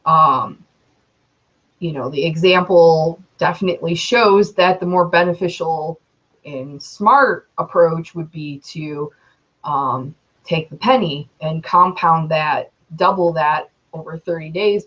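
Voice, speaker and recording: Twenty-something woman from chatting to camera, slow and rambly, muffled lo-fi audio. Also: deep voice, a bit bright, husky. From Metro Detroit, Michigan, USA. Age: 20s. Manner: rambling, many ums and you knows. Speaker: YouTuber. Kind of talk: casual vlog talk. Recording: dull lo-fi sound.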